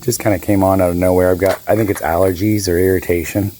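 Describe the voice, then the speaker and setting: A man in his fifties with a raspy, hoarse voice, chatting casually and rambling through a boat repair vlog. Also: low voice, steady tone, brisk, dark tone, a little hiss or hum. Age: 50s. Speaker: boat owner vlogger.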